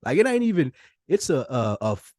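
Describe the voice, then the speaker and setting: A man's voice: on the high side, big pitch swings, a little fast, darkish tone, no room sound, clean studio sound. A man talking casually and candidly as a podcast co-host, reflective and confessional, with slangy, profane, emphatic phrasing. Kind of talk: casual, candid personal conversation.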